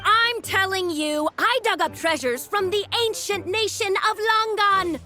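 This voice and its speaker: A woman's voice: very high voice, measured pace, sharp tone, exaggerated voice. A woman playing a boastful, touchy, unhinged young archaeologist in a game, agitated and defensive. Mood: defensive boasting. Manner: agitated, defensive, swinging into menace. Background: music.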